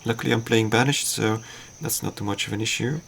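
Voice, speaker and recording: A man with Dutch as his first language talks over his game in a low, gravelly voice. He thinks aloud, stops and restarts, and pokes fun at himself. A faint hiss sits under the recording. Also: fairly bright tone. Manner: halting, self-deprecating, thinks aloud with fillers. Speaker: streamer. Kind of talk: casual game commentary.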